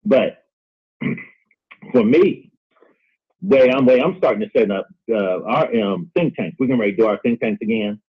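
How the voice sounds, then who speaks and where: A husky-voiced man talks earnestly in an open discussion. He moves haltingly, repeating words as he builds his point, and the sound is dull and lo-fi, like a call-in line. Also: on the low side, expressive. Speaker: guest on a call-in discussion show.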